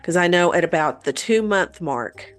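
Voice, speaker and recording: Low-voiced woman telling a story. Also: expressive, moderate pace, soft background music. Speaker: podcast host telling a paranormal story. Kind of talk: conversational storytelling.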